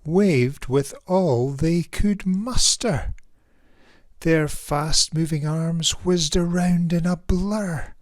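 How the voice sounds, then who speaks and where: A man reading a rhyming children's picture book aloud in a theatrical, measured and emphatic storyteller's manner. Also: on the high side, no echo, clean studio sound. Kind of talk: expressive rhyming storybook narration.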